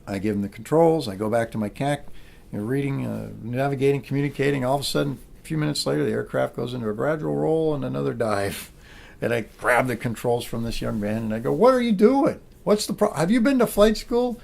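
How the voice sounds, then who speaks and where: A middle-aged man with an American accent, a military pilot giving an anecdotal talk to a room in an animated storytelling manner. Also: medium pitch, fairly quick, vocal fry.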